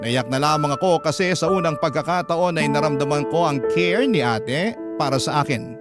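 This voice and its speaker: Higher-pitched male radio storyteller over loud music. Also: lively intonation, normal speed. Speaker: radio drama host reading a listener's letter. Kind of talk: first-person radio story narration.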